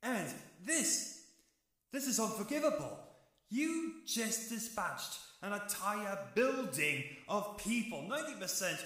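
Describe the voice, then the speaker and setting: An actor playing a stern, sorrowfully righteous archangel in an audio drama, with grave, declamatory delivery. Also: male voice, high-pitched, big pitch swings, takes it slowly, fairly bright tone, heavily acted. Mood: accusing, impassioned. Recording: heavily echoing voice.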